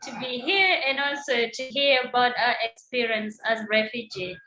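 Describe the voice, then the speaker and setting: A woman's voice: a little high, moderate pace, bright, forceful tone, faint music behind. A woman joining a video call as a participant, eager and repeating her words. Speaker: session participant. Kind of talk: casual chat on a video call. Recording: muffled, echoey video-call audio.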